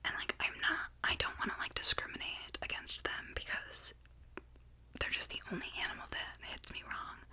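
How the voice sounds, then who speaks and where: A woman's voice: normal speed, bright, forceful tone. Young woman whispering chatty ASMR rambles. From Canada. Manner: whispers chattily with many fillers and restarts. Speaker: ASMR creator.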